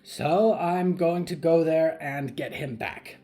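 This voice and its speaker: A man's voice: a little high, steady tone, slow pace, mellow, faint music behind, pitched above the performer's own voice. A man voicing an imperious, snappish old grandmother in an audio drama, indignant and cutting in manner. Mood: bitter, defiant. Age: older. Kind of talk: audio fiction story acting.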